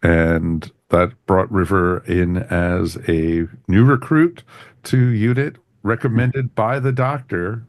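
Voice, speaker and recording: A man's voice: deep voice, fairly even intonation, slow pace, no room sound, clean studio sound. A man hosting a podcast, giving a casual review in a rambling way that often circles back on phrases. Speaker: podcast host.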